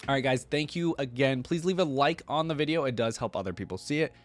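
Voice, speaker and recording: A man's voice: mid-range voice, fast, faint music behind. A man hosting a reaction video, giving casual, informal commentary and thinking aloud as he goes.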